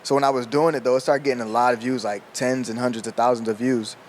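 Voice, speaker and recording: A man's voice: medium pitch, fairly even intonation, fast talker, a little hiss or hum. A man in his twenties chatting casually on a podcast, loose and slangy, laughing as he tells stories. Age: 20s. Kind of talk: casual chat.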